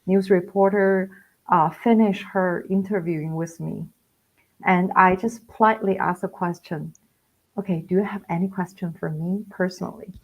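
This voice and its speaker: A woman's voice: on the low side, fairly even intonation, moderate pace, lo-fi sound. A woman education researcher speaking as a guest in a live online interview, explaining her work with many fillers and restarts.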